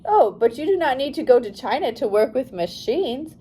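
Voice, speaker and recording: A woman voicing a shrewd, controlling male Russian official in an audiobook, in a measured, insinuating manner. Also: high voice, very animated, dark tone, slightly rough, exaggerated voice. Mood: insistent. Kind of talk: audiobook character dialogue.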